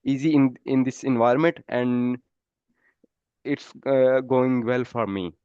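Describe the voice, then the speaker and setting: Halting Pakistani man, smooth flat voice. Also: medium pitch, muffled recording. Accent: Pakistani English. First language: Punjabi. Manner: halting, many "uh" fillers, polite. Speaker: developer practicing English. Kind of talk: casual conversation practice.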